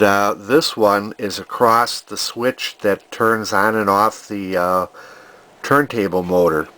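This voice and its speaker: A man's voice: on the low side, a bit bright. A man narrating an electronics repair casually, thinking aloud in a hesitant, rambling way. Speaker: vintage electronics repair hobbyist.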